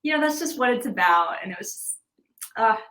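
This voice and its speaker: A bubbly young-sounding woman talks in a playful, giggly way, her voice bright and a little high. The room around her rings with a clear echo. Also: lively intonation, brisk. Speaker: podcast guest, singer. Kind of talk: casual interview chat.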